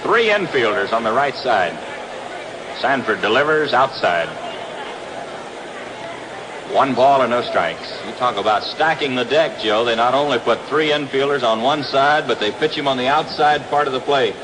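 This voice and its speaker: A man's voice: mid-range voice, lively intonation, normal speed. Noisy 1960s radio, American baseball announcer man. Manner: clipped short phrases, calling action as it happens. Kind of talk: live radio play-by-play commentary. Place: outdoor ballpark broadcast.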